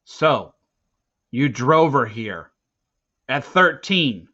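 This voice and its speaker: A gruff, skeptical police officer, acted by a man reading a novel aloud. He questions bluntly and with open disbelief, bemused and suspicious. The sound is a little muffled. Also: medium pitch, lively intonation, very slow, sharp tone, pitched above the performer's own voice, exaggerated voice. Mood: disbelieving. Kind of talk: acted dialogue in an audiobook read-aloud. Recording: slightly muffled, dull recording.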